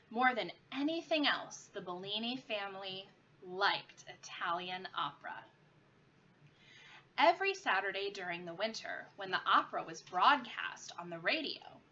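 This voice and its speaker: A woman's voice: medium pitch, sharp tone. A woman, a teacher, reading a children's story aloud expressively. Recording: muffled lo-fi with clear background noise.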